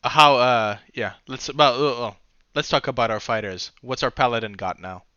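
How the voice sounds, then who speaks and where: A man hosting a tabletop game as game master, slightly raspy from a cold, laughing often and rambling with restarts. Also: medium pitch, normal speed, pushed and bright, no room sound, dull audio.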